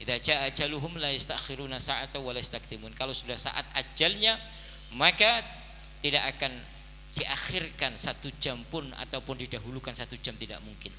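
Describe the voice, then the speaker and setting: A man in his forties, head of a boarding school, preaching slowly to his students and often saying "nah, ini" to fill his pauses. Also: mid-range voice, pushed and bright. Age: 40s. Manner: slow, measured preaching with the repeated filler "nah, ini". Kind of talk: birthday speech and sermon to students. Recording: hall reverb with faint noise.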